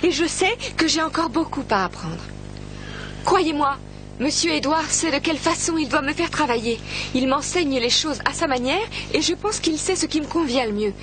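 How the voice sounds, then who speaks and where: A woman's voice: high-pitched, expressive, normal speed. A woman voicing an earnest young girl who is eager to learn music, polite and sincere, in a French cartoon dub. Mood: reassuring. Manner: pleading then gently reassuring. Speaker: music student. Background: background music score.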